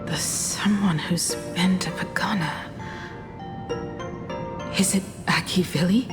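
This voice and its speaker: Low, serious young heroine. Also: female voice, takes it slowly. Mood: wary. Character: serious young woman confronting her deceiving father. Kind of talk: dramatic video game voice acting. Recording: music under the voice. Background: music.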